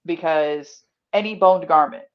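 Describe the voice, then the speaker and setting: A woman with a fairly deep voice, chatting casually as a guest about working event security. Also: a little slow. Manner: wry, chatty storyteller. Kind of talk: casual chat. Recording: dull, lo-fi audio.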